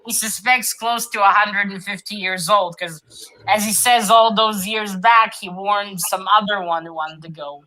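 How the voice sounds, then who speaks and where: A woman's voice: mid-range voice, normal speed, bright, forceful tone, rough recording. A woman talking casually and informally as she retells a story in a book discussion.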